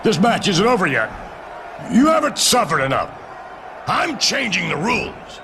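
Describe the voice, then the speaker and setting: A man's voice: sits high, big pitch swings, moderate pace, gravelly, full character voice. A man playing a tyrannical, indignant wrestling boss in a video game, domineering and emphatic. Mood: menacing. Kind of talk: video game cutscene acting. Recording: noisy arena with crowd behind.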